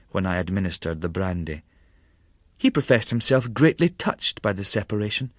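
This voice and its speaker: A man narrating a literary audiobook in a measured, wry storytelling manner with formal diction. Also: fairly low pitch, no echo, clean studio sound.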